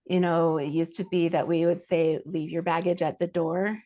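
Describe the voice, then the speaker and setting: Earnest woman coach with a lowish voice. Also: flat delivery, normal speed, dark tone. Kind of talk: conversational advice.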